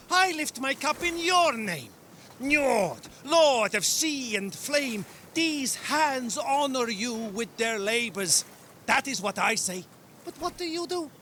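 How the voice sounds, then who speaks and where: High, bright-voiced grumbling seafarer. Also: male voice, slight background noise, exaggerated voice. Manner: theatrical, aggrieved. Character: excitable, grumbling seafarer devoted to sea god. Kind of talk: cinematic game dialogue.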